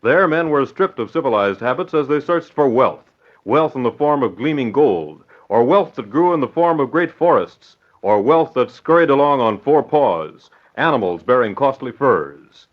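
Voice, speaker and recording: Male vintage radio announcer: theatrical, formal, widely swinging delivery, medium pitch, dull recording. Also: lively intonation, normal speed. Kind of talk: 1940s radio announcing and dramatic narration.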